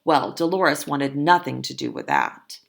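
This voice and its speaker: A woman who works as a teacher, reading a children's story aloud expressively and adding simple, deliberate explanations. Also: rumbling low, very animated, vocal fry.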